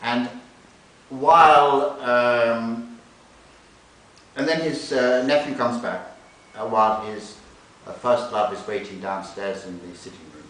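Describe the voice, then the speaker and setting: A man's voice: medium pitch, fairly even intonation, slow, fairly bright tone. A man speaking at a live literary reading, recorded from a distance in a library room. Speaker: literary translator reading aloud. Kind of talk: introducing and reading a novel extract at a live reading. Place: indoor library event room. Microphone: distant recorder away from the speaker. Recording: echoey, hissy library room recorded from far off.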